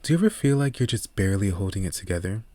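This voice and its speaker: A man voicing a gentle, vulnerable woman who is opening up, in a soft, earnest audiobook delivery. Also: on the low side, big pitch swings, rapid-fire, dry recording. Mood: anxious, confiding. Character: gentle wounded woman restoring her garden, vulnerable, opening up.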